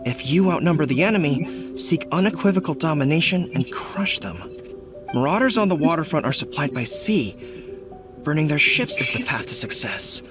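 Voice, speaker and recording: An earnest young general with a high voice. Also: male voice, very animated, music in the background. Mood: serious. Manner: formal, measured military briefing.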